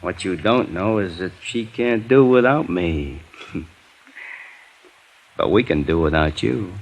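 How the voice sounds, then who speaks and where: A man's voice: low-pitched, animated, slow, vocal fry, full character voice, rough recording. An actor in an old radio drama playing a curt, menacing gunman who defers to his mistress. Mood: tense menace. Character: menacing male gunman, a servile hired henchman. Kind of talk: 1950s radio drama acting.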